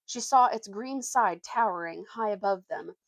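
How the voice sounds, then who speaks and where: A woman reading a children's adventure book aloud as an expressive storyteller. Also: mid-range voice, normal speed, dry recording.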